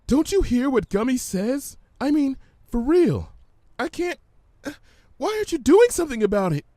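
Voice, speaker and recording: Man voicing a timid, high-pitched panicky girl pony. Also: very animated, dark tone, no echo, studio quality. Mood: anxious pleading. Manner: anxious, halting, stammering. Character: timid, panicky young female pegasus pony. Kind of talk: storybook character acting.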